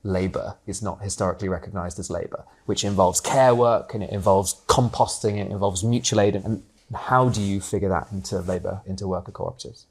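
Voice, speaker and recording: A man in his twenties who grew up in Hong Kong, speaking as an interview guest in a candid, animated, conversational way with many casual fillers. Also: low voice, brisk, slightly rough. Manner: candid and animated, frequent fillers like "like" and "you know", mild swearing. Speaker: guest, co-op founder.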